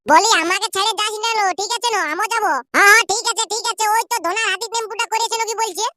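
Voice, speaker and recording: A bustling cartoon village woman acted by a man chatters very fast in a tiny, extremely high voice. She has a Purulia accent and sounds bossy and boastful, repeating herself as she hurries everyone along. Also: fairly even intonation, background noise. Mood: excited, bossy.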